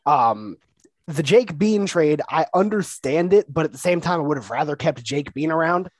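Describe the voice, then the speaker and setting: A man's voice: fairly high pitch, lively intonation, moderate pace, no echo. A man co-hosting a casual sports chat, often exasperated, griping and laughing. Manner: exasperated, complains and laughs a lot. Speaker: podcast co-host.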